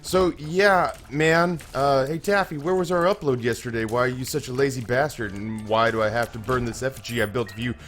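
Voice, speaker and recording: A slightly hoarse young man hosting a gaming channel, telling a long, self-mocking story with many restarts while he plays. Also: mid-range voice, fairly quick, hardly any pauses, a bit bright, faint music behind. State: a little hoarse. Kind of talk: casual let's-play commentary.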